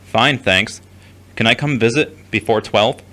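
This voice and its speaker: Skeptical churchgoing man played by a male actor, lowish voice, blunt and dry, guarded, for radio drama. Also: unhurried, bright, forceful tone. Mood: polite. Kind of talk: audio drama acting.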